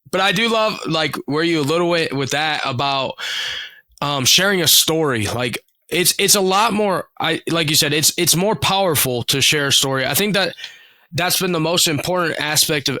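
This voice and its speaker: A man's voice: fairly high pitch, normal speed, pushed and bright, no room sound. A man hosting a podcast over a video call, talking in a loose, casual way full of fillers. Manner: casual and loose, with lots of "like" fillers and mild swearing. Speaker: podcast host.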